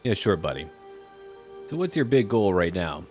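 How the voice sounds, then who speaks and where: Deep-voiced man casually playing a game. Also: big pitch swings, normal speed, a little mellow, soft background music. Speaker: gamer playing through a role-playing game. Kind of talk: casual game commentary, speaking dialogue choices aloud.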